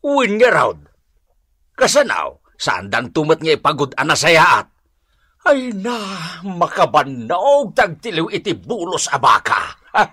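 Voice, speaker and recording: Ilocano accent, grumbling henpecked husband, mischievous buddy, bright animated voice, radio drama. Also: male voice, on the high side, unhurried, husky, no echo, studio quality, full character voice. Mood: grumbling, coaxing.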